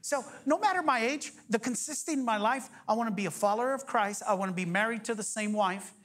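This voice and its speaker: A man in his forties preaching a sermon as pastor, energetic, joking and firing off rhetorical questions. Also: high voice, fast talker. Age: 40s.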